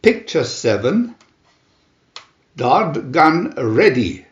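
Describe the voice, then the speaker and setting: A man reading a comic adventure booklet aloud as a dramatic narrator, announcing each part with theatrical pauses. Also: fairly high pitch, very animated, reverberant, highs cut off.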